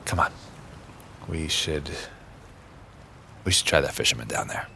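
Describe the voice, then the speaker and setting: An actor playing an amiable, careful man travelling undercover, politely curious and gently coaxing, in an audio drama. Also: rumbling low, lively intonation, a little slow, vocal fry, slight background noise, full character voice.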